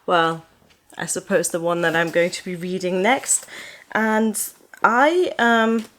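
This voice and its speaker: Relaxed young woman chatting about books. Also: lowish voice, slow. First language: German. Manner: relaxed, rambling, with frequent ums and uhs. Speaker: book vlogger. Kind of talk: casual chat to camera. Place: living room, seated on a sofa indoors.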